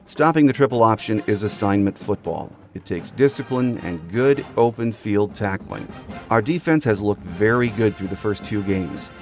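A man's voice: fairly low pitch, normal speed, a little mellow, soft background music. A man hosting a sports podcast with scripted commentary and guest introductions.

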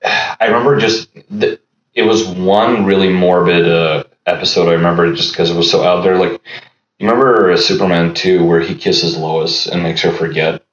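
A man in his thirties with a very deep voice, talking casually as a guest and pausing often with fillers. The sound is roomy and a bit dull, as if from a lo-fi setup. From Los Angeles. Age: 30s. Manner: relaxed, halting, many fillers. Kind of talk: casual chat.

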